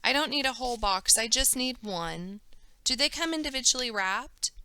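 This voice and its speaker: Woman voicing an earnest, higher-pitched schoolgirl. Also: expressive, moderate pace, sharp tone, smooth, no echo. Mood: eager. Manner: earnest, polite. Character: earnest, certain, polite little schoolgirl.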